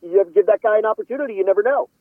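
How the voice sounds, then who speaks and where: A man's voice: high voice, rapid-fire, dry recording. A man talking casual sports as a radio guest analyst, emphatic and opinionated. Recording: lo-fi phone-like radio line.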